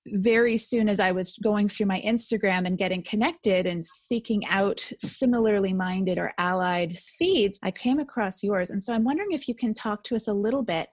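Woman interviewer chatting w a guest online, mid pitched voice. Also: moderate pace. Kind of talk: conversational interview. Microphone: internet call.

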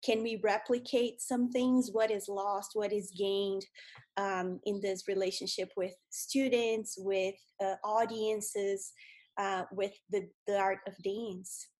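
A woman in her thirties from Brazil with a Brazilian Portuguese accent, speaking as a guest in a podcast interview, thoughtful and measured, with frequent pauses. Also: medium pitch, darkish tone. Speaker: guest, dance professor.

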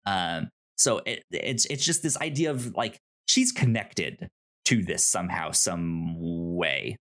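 A man co-hosting a casual discussion of a TV show, halting and repeating words as he thinks. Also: low voice, animated, fairly bright tone. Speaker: podcast co-host.

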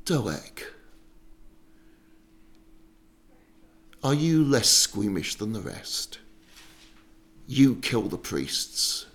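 A man's voice: lowish voice, sing-song, slow, creaky, exaggerated voice. Man acting a raging tyrant king, explosive. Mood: cold menace. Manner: explosive shouting that drops to a cold hiss. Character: raging, paranoid tyrant king, bellowing at traitors. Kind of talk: dramatic storytelling.

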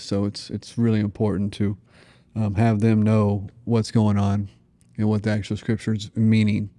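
Low, mellow American man, even-toned. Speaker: parent sharing family testimony. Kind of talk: casual conversational testimony.

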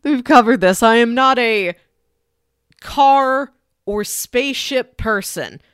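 A woman's voice: fairly high pitch, slow, fairly bright tone, clear, resonant tone, no echo, studio quality. A woman co-hosting a podcast in casual chat, frank and conversational. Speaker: podcast co-host.

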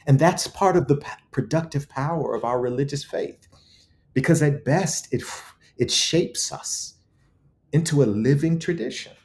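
A man's voice: on the high side, normal speed, a little mellow. A man speaking as an interview guest, reflective and eloquent, with emphatic, preacherly storytelling and rhetorical repetition. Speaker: guest author.

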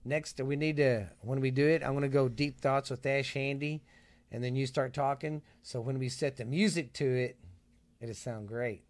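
Joking podcast host, dry mic. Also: male voice, medium pitch, steady tone, normal speed, no echo. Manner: loose, crude, joking. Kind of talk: casual podcast chat.

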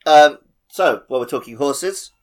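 A man's voice: a little high, normal speed. A man co-hosting a gaming podcast in a casual, conversational style. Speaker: podcast co-host. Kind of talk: casual game discussion.

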